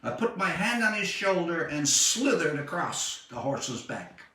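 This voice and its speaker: Man, literary story reading, bright expressive voice, slow measured phrasing, dramatic pauses, distant mic in echoey room. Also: on the high side. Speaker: author reading own short story.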